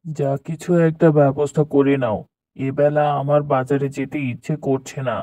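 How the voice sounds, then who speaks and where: Man acting a lazy village husband, flatly dismissive. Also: medium pitch, normal speed, close to an everyday voice. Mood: irritated, dismissive. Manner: dismissive, grumbling. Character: lazy, sleep-loving village husband and grown son. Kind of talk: cartoon voice acting.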